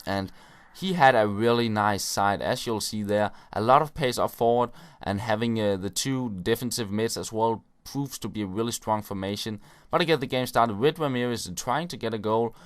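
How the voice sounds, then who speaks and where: Low smooth-voiced male game commentator. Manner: relaxed play-by-play with frequent uh fillers. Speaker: gaming video host. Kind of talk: casual gameplay commentary.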